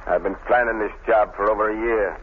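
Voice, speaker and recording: An actor playing a bossy, boastful gang boss with a brisk, authoritative manner in a 1930s radio drama. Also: male voice, lowish voice, fairly even intonation, breathy edge, phone-quality sound. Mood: commanding, smug. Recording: thin, hissy 1930s radio recording.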